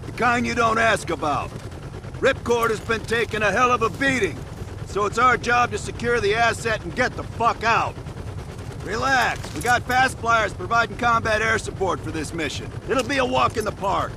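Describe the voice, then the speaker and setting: An actor playing a gruff, profane soldier in a military video game, blunt and wry as he addresses his squad. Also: high-pitched, normal speed, background noise. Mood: wry, matter-of-fact.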